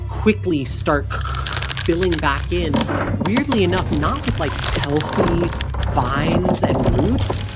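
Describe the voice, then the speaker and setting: A woman's voice: on the low side, expressive, slow pace. A woman narrating a tabletop fantasy game as game master, setting scenes in descriptive detail. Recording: loud music under the voice.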